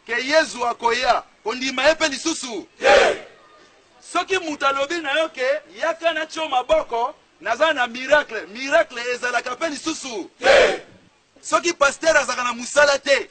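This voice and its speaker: A man's voice: extremely high pitch, moderate pace, very noisy. A man with a Congolese accent preaching in a fiery, declamatory way, punching out emphatic rhetorical questions. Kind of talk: fiery street-style sermon. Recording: faint crowd behind. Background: faint crowd.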